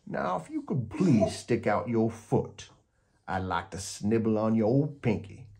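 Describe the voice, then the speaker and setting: A man's voice: lowish voice, very animated, a little slow, warm tone. Man as a growling, hungry bedside monster. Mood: playfully menacing. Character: menacing, hungry, affectionate old-hand male under-bed monster.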